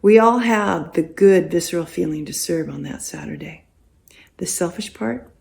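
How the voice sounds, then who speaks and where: An older American woman reading a prepared personal testimony as a volunteer, in a deliberate, expressive storytelling way with pauses. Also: lowish voice, gravelly. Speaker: congregation volunteer giving testimony.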